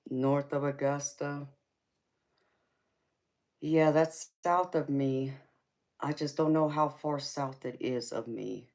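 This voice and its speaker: Slow-talking Southern woman chatting live. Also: very deep voice, fairly even intonation, a little mellow. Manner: chatty, laughs often, calls viewers baby. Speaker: tarot reader hosting a live stream. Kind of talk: casual live chat with viewers.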